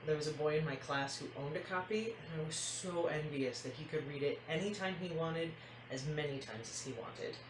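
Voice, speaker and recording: A woman in her thirties chatting casually as she introduces a picture book, expressive and enthusiastic. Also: low voice, normal speed. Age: 30s. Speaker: host of a picture-book read-aloud series. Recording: roomy, faint noise, distant mic.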